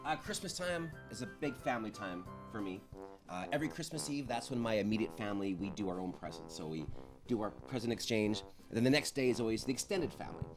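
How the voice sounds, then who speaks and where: A man's voice: fairly low pitch, expressive, fast, music in the background, rough recording. A man telling personal stories in a casual, chatty style on a panel show. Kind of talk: casual chat, personal storytelling.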